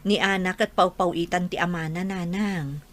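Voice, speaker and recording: A woman's voice: on the low side, steady tone, normal speed, fairly bright tone, no echo, close to an everyday voice. A woman playing a doubting, defensive middle-aged mother in a radio drama.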